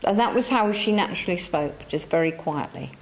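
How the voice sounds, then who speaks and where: A woman's voice: fairly low pitch, animated, brisk, a little hiss or hum. Woman, chatty coaxing medium. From England. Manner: coaxing, chatty, repeats herself. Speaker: spiritualist medium. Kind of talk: mediumship demonstration to a church audience. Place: church hall.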